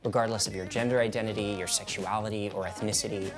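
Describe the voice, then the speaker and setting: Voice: low-pitched, steady tone, fast. A filmmaker giving a confident promotional voice-over for their film. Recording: voice-over over clear guitar music.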